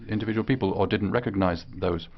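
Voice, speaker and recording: A man interpreting a speech live, speaking in short, halting phrases. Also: on the low side, highs cut off. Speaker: simultaneous interpreter.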